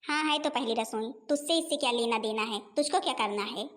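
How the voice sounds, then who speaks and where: Woman playing a high-voiced nagging mother-in-law. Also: fairly quick, a bit bright, close to an everyday voice. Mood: bossy, disbelieving. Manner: scolding, taunting, repeats phrases mockingly. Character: stern, nagging middle-aged Indian mother-in-law, domineering and sarcastic. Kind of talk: family comedy cartoon dialogue.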